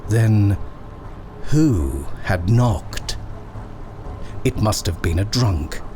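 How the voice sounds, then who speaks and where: A man reading a horror short story as dramatic, suspenseful narration, measured and full of pauses. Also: on the low side, lively intonation, warm tone, a little hiss or hum.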